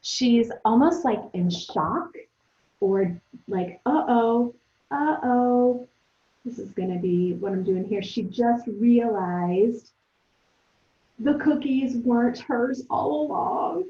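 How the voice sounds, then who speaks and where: Lady teacher talking kids thru a read aloud, chatty n expressive, kinda mellow, bit dull audio. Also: on the high side, slow. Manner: conversational, expressive, addresses pupils directly with questions. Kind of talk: read-aloud lesson for children, modeling thinking aloud.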